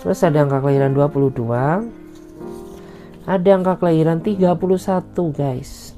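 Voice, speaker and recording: A woman's voice: deep voice, moderate pace. A woman tarot reader with an Indonesian accent, chatting casually and speaking the feelings of the other person in the first person. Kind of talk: casual tarot reading monologue. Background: soft guitar music.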